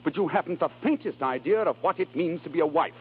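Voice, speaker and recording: Harried, animated radio-play husband. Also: male voice, on the high side, fast talker, slightly rough. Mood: firm, exasperated. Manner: flustered, hesitant, then emphatic. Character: harried, exasperated husband of an opera star. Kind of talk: 1930s radio drama acting. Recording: scratchy, narrow 1930s radio recording with hum.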